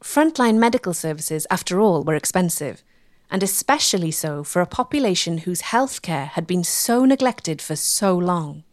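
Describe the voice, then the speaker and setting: Expressive woman narrating a documentary. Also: lowish voice, normal speed, no echo, clean studio sound.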